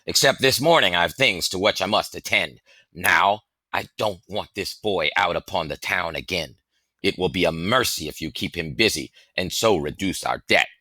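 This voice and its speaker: A man voicing a big, hearty, commanding fellow, gruff but fond, with blustering theatrical delivery in a storybook reading. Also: low voice, animated, normal speed, pushed and bright, no room sound, full character voice. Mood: pious, hearty. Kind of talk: storybook character voice in audiobook reading.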